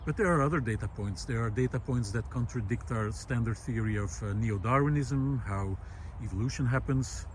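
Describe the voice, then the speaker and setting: A man talks his thoughts through while walking across a park lawn, with a mid-pitched voice. He is reflective and unhurried, often stopping to search for the next word. A faint hum of outdoor sound sits behind him. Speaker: video essayist. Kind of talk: musing walk-and-talk monologue. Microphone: handheld camera while walking.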